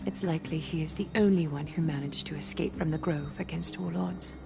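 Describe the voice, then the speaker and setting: Grave, composed woman leader in low tones, over music. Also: steady tone, vocal fry. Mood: foreboding. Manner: measured, grave, formal speech. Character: composed, solemn woman leader, formal and foreboding. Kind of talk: scripted game story dialogue. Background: game music.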